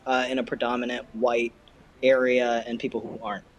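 A man's voice: mid-range voice, flat delivery, a little fast, a little hiss or hum. A man co-hosting a podcast in casual chat, joking and teasing. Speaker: podcast co-host.

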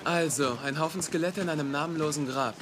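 A man's voice: a little high, fast, heavy background noise, natural voice. An actor playing an earnest, wounded young man in a dramatic dialogue scene. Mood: serious. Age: young adult. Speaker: actor in an audio drama or dubbed series.

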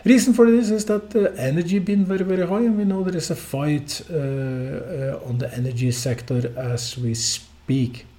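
A man from Norway with a Norwegian accent, hosting a solo podcast of financial market commentary in halting, filler-heavy phrasing. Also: on the high side, steady tone, dark tone. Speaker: podcast host.

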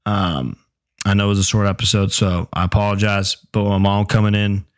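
A young man hosting his own podcast in a casual, chatty way, with a sore, hoarse voice that is giving out. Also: fairly low pitch, fairly even intonation, a little fast. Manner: laid-back, chatty, swears casually. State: sore throat, losing voice. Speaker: podcast host. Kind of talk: casual solo podcast chat.

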